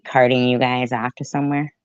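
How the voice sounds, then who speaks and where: A woman's voice: rumbling low, flat delivery, smooth, no echo. A woman giving blunt, sarcastic reaction commentary on old video clips. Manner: blunt, sarcastic, drawn-out remarks. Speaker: commentary YouTuber. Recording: dry, muffled lo-fi sound.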